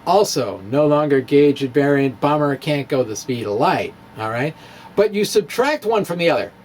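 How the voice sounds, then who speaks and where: A man's voice: a little high, lively intonation, normal speed, darkish tone, a little hiss or hum. A man giving an informal physics talk, chatty and self-amused, with frequent fillers and little chuckles at his own jokes. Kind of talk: informal physics lecture at a chalkboard.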